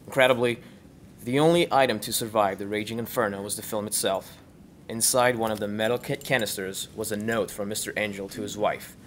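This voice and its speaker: Male narrator, fairly deep, scripted mock-documentary read, slight background rumble.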